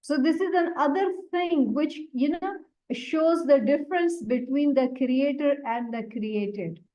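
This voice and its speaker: A woman whose first language is Urdu, teaching a Quran lesson and explaining Arabic words one by one in a deliberate way. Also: high voice, mellow. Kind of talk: slow explanatory religious lesson. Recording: dull lo-fi recording.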